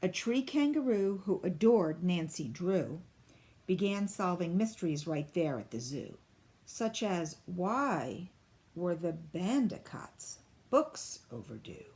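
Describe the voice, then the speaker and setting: A woman reading a children's picture book aloud in an expressive, deliberate storybook style. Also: lowish voice. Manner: deliberate, clearly phrased storybook delivery.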